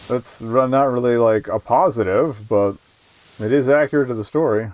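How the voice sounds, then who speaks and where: A man's voice: mid-range voice, moderate pace, darkish tone. A man hosting a gaming video, giving casual playthrough commentary in a dry, wry manner. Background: game music.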